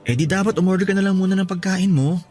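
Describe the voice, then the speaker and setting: A man acting a high-voiced, quick, defensive Filipino boyfriend. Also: darkish tone, faint music behind, natural voice. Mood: defensive, irritated. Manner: defensive, dismissive. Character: defensive young boyfriend making excuses. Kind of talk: radio drama acting.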